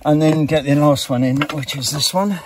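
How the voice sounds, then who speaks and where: A man's voice: on the high side, moderate pace. A middle-aged man talking himself through repairing roof tiles, matter-of-fact and practical, recorded outdoors on a rooftop. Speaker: vlogger renovating a house. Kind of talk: casual narration while working. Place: outdoors on a high tiled rooftop. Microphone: handheld camera, often several steps away. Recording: outdoor recording from a distance, loud wind noise.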